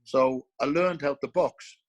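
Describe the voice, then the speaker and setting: A man's voice: medium pitch, a little slow, lo-fi sound. An Irish former boxer answering interview questions in an earnest, emphatic way, often repeating his key phrases for weight. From Ireland. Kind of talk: casual interview.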